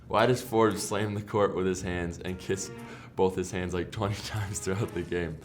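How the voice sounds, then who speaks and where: A young man, a college basketball player, casually answering fan questions in a laid-back, deadpan way over music. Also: low-pitched, moderate pace. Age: young adult. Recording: music behind the voice.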